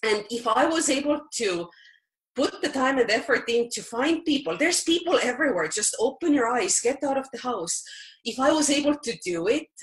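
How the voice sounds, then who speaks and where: A woman's voice: sits high, expressive, normal speed, low-quality recording. A woman from Estonia with an Estonian accent, giving a heartfelt, emotional testimonial. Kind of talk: personal testimonial on a call.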